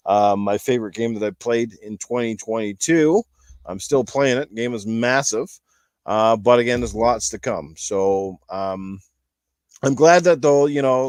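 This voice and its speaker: A man speaks as a podcast host in a casual discussion style. His medium-pitched voice rambles along and leans emphatically on its points. The sound is dry, with hardly any room to it. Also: no room sound. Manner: rambling, emphatic, explains with analogies. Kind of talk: casual gaming talk show discussion.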